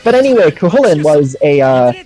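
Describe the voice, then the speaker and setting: A man's voice: on the high side, sing-song, brisk, mellow. A young man in casual chat, explaining things earnestly and choosing his words with care. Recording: faint music behind the voice. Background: music.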